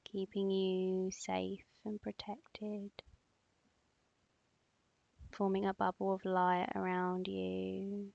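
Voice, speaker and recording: A woman leading a guided meditation in a soothing, instructing manner, with long pauses and breathy phrase endings. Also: medium pitch, monotone, no room sound. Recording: slightly muffled, dull close dry recording.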